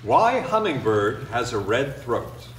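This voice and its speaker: A man reading a folktale as a storyteller, with expressive, dramatic and measured phrasing. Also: medium pitch, far from the mic, slight background noise. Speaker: storyteller, reader. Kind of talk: expressive scripted folktale narration.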